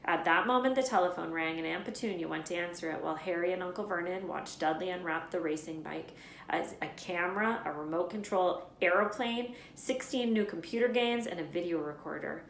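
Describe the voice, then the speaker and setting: A woman reading a children's story aloud in an expressive narrating style. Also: fairly low pitch, brisk, gravelly, slight background noise. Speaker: read-aloud storyteller, teacher. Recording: echoey room.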